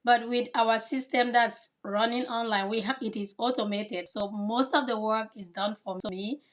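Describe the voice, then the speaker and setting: A woman's voice: on the high side, steady tone, moderate pace. Earnest woman guest from Cameroon. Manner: earnest and explanatory. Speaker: guest, kindergarten teacher. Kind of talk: casual interview answers.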